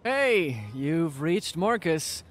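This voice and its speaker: A breezy young man played by an actor records an offhand voicemail greeting for an audio drama. His voice is fairly high and very animated, with some background noise. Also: very slow, heavily acted. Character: easygoing young man's casual voicemail greeting.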